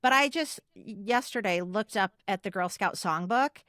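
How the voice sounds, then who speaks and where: A middle-aged woman co-hosting a podcast, reminiscing casually in an exuberant, animated storytelling way. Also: mid-range voice, measured pace, dry recording, clean studio sound. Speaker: podcast co-host.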